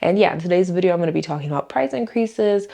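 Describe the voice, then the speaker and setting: A young woman, chatting straight to her viewers. Her voice sits low for a woman and sounds stuffed up and slightly nasal from a cold. She talks with a lot of emphasis and drops in easy fillers as she goes. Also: expressive, fast, vocal fry, warm tone. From Florida. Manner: chatty, emphatic, uses "y'all" and "um". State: congested with a cold. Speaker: YouTube host. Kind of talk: casual talking-head vlog.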